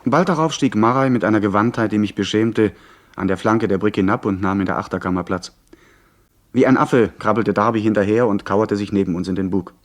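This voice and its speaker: Male actor as earnest young gentleman narrator, lowish voice, literary storytelling, radio play. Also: lightly acted. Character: earnest young gentleman recalling his pirate adventure. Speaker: first-person narrator. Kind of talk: scripted dramatic narration.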